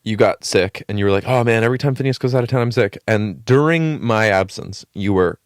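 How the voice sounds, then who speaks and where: A young man with a deep, low voice talks through a long story in a relaxed, rambling way. He swears now and then and leans on fillers. The sound is dry and close to the mic. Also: a little fast, no echo. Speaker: podcast co-host. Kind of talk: casual chat.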